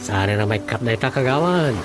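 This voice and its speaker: A man's voice: mid-range voice, expressive, a little fast, close to an everyday voice. A man with an Ilocano accent playing a folksy, chatty village elder in a radio comedy-drama. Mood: concerned, chatty. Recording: music behind the voice.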